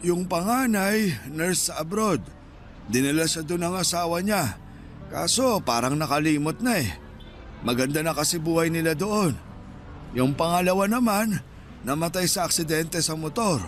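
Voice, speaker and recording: A man playing a gentle, forgiving old street vendor and father in a Tagalog radio drama, patient and plain-spoken. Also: a little high, expressive, normal speed, lightly acted. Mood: resigned. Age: older. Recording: music playing behind the voice. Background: background music.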